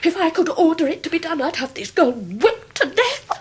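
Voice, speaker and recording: An actress playing a scornful upper-class English Victorian lady in a radio drama, with a breathy, biting, theatrical delivery. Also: very high-pitched, big pitch swings, normal speed. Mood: outraged fury. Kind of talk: 1990s radio drama acting.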